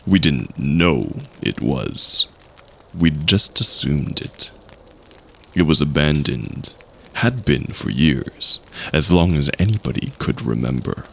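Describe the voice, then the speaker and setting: A gravelly, extremely deep man acting as a male campfire storyteller unfolds a spooky tale for an audio drama. He takes his time, with suspenseful pauses and wide swings in pitch. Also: a bit bright, exaggerated voice. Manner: suspenseful, dramatic, drawn-out phrasing. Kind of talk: slow campfire ghost story narration in audio roleplay.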